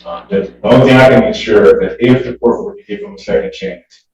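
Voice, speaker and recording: A distant, very echoey recording of a male defense lawyer in a courtroom. His voice is mellow and a bit muffled, and he pleads in a hesitant, deferential, halting way. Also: fairly low pitch, gravelly. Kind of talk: spontaneous plea to a judge in court. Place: indoor courtroom.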